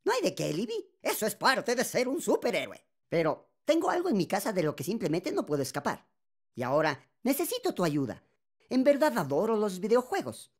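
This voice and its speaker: A man's voice: high-pitched, full character voice. A man voicing a pompous, goofy cucumber superhero in a Latin American Spanish cartoon dub, with grandiose, melodramatic delivery. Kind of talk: dubbed cartoon acting.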